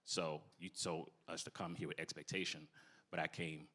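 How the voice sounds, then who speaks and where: A man with an extremely deep voice and a bright, forceful edge gives an earnest testimonial as a church member. He talks in a conversational, sincere way. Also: flat delivery, moderate pace.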